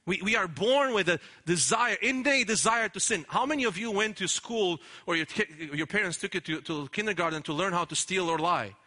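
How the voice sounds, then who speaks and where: Pastor preaching, earnest and emphatic, pretty high bright voice. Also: male voice, animated, brisk, hardly any pauses. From Romania. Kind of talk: sermon.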